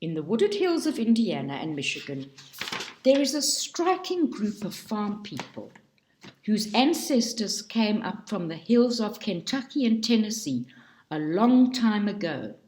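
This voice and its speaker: A woman reading a book aloud in a slow, deliberate and expressive way. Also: medium pitch, a little hiss or hum. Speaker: reader. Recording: roomy echo.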